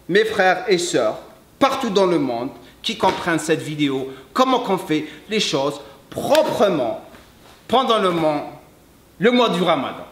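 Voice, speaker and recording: A man, a French speaker, talking earnestly to camera as a fitness coach, emphatic and halting, slipping between French and Arabic. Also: high voice, fairly bright tone, a little hiss or hum. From Algeria. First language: English. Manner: emphatic, halting non-native French with repeats. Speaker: fitness coach and video host. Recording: echoey room.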